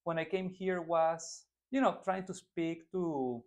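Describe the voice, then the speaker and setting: A man's voice: fairly high pitch, takes it slowly, lo-fi sound. A man in his twenties from Venezuela, a native Spanish speaker with a Venezuelan accent, answering interview questions earnestly with frequent restarts as a political candidate.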